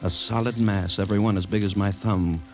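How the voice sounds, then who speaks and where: A man's voice: fairly low pitch, fairly even intonation, darkish tone, close to an everyday voice. Actor as grave, sober man facing menace. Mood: awed, ominous. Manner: grave, dramatic, deliberate. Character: alarmed, sober man narrating and pleading against deadly menace. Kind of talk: 1940s American radio drama acting and narration. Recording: old radio recording with music.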